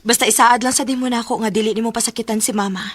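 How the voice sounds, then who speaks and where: A young woman played by an actress in a radio drama, pitched on the high side. She speaks with a Cebuano Filipino accent and comes across as guarded and frank, yet willing to forgive. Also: steady tone, very fast, no echo, lightly acted. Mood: tender, reflective. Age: young adult.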